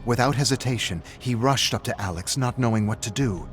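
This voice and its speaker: A man narrating a scripted horror story in a measured, deliberate way with dramatic emphasis. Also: mid-range voice, faint music behind.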